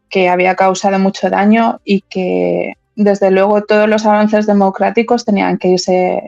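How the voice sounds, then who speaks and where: A woman's voice: mid-range voice, creaky, a little mellow, dry recording, muffled recording. A woman in her fifties from Madrid, answering interview questions as a political candidate, often repeating words and restarting her phrases. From Madrid, Spain. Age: 50s.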